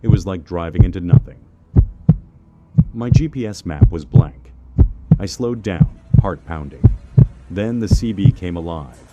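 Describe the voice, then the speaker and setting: A man narrating a scripted first-person horror story in a measured, suspenseful manner. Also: low-pitched, dull audio, heavy background noise.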